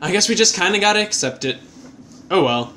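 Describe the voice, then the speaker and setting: A man's voice: high-pitched, animated, fairly quick, sharp tone, a little hiss or hum. A man commenting on gameplay as a let's player, chatty and joking. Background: game music.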